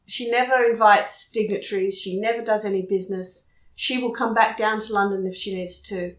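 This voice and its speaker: An Australian woman working as a photojournalist, telling stories in a chatty, lively way during a casual interview at her home. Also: mid-range voice, brisk, echoey room. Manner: chatty, animated storyteller. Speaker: photojournalist guest. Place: room in her house.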